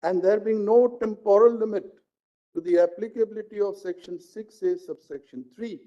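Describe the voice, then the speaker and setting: A man with an Indian accent, a judge reading out a legal judgment in formal, deliberate phrasing. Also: high voice. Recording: dull lo-fi live stream audio.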